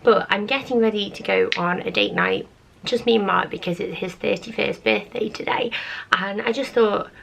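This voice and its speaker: A British woman in her thirties, husky from the end of a cold, chatting casually and laid-back through a make-up tutorial. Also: mid-range voice, fairly bright tone, slight background noise, poor audio. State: getting over a cold. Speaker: beauty vlogger.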